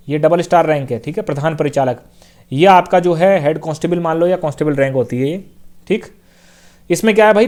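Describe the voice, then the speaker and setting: A man's voice: a little high, darkish tone. A young man, an exam coaching teacher, casually walking viewers through a job notice, repeating points and checking in often. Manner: casual, repeats points, fills with "theek hai" and "bhai". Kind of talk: explaining a job notification on screen.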